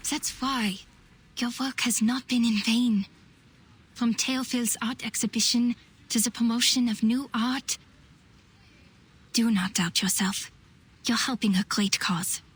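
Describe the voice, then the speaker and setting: A woman's voice: fairly high pitch, steady tone, measured pace, pauses often, slightly rough. A woman voicing an idealistic young female doctor and revolutionary in a game, speaking earnestly and eloquently. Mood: encouraging. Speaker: game voice actress. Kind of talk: dramatic game voice acting, monologue.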